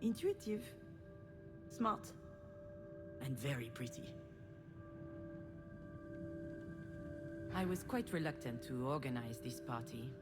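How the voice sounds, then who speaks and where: A woman's voice: very deep voice, very animated, a little slow, heavily acted. A woman playing a self-deprecating, wistful adult daughter, narrating her family memories in a reflective, conversational first-person voice for a visual novel. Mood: wistful, rueful. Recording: prominent music under the voice. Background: loud music.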